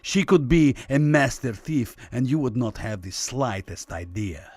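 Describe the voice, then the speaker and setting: An actor playing a suspicious, subtly menacing official in a radio drama, with formal, pointed courtesy. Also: male voice, medium pitch, expressive, unhurried, darkish tone, slightly rough, no room sound. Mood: suspicious, warning.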